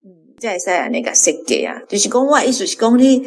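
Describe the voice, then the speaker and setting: A woman's voice: on the high side, sing-song, fast, darkish tone. A woman with a Taiwanese accent putting questions to a guest in a casual panel discussion.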